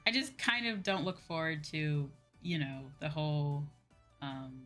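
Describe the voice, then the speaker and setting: A woman's voice: deep voice, expressive, measured pace, fairly bright tone. A woman talking casually to viewers on a livestream, informal and conversational, peppering her talk with "like" and "you know". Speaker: VTuber livestream host. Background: background music.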